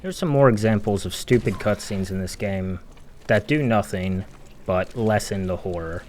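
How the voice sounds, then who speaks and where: A man's voice: fairly low pitch, normal speed, darkish tone, a little hiss or hum. A man giving a critical video game analysis voice-over in a casual, opinionated, emphatic way. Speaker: video essay commentator.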